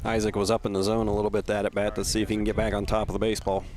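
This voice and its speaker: A man's voice: on the low side, flat delivery, rapid-fire, a little mellow, slight background noise. A man giving casual baseball analysis as a color commentator on a radio broadcast.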